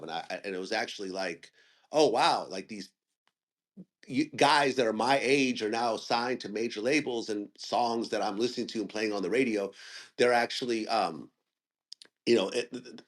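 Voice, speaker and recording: Low-voiced man chatting with many restarts. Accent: Northern Californian. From Ukraine. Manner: rambling, with many restarts and fillers. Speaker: guest interviewer and media host. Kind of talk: casual audio chat room conversation.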